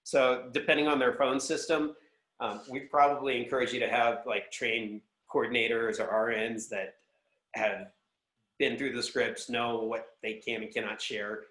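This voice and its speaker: A man's voice: mid-range voice, level tone, moderate pace, fairly bright tone. A man giving conversational business advice as an interview guest, explaining things in a practical way. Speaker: guest, sales executive and consultant. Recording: roomy, echoing voice pickup.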